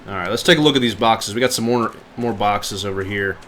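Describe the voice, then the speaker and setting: A man giving informal live commentary on a video game, reacting out loud as he plays. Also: on the low side, a little fast, a little hiss or hum. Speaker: game livestreamer. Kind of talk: casual gameplay commentary.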